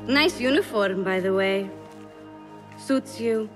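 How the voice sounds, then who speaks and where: A woman's voice: mid-range voice, slow, lightly acted. An actress playing a cunning, composed woman poisoner with fierce loyalty and a taunting manner, in a game cutscene. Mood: defiant, taunting. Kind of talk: dramatic video game cutscene acting. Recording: music loud behind the voice. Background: dramatic score.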